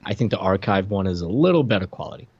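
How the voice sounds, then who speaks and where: A man's voice: low-pitched, lively intonation, quick pace, darkish tone, slightly rough, no room sound. A man co-hosting a podcast in casual review chat, informal, rambling and jokey. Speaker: podcast co-host. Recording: slightly dull, lo-fi sound.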